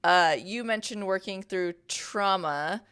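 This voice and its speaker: A woman's voice: medium pitch, slow pace, fairly bright tone, clear, resonant tone. A woman hosting an interview, asking questions in a chatty way with fillers. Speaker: interview host.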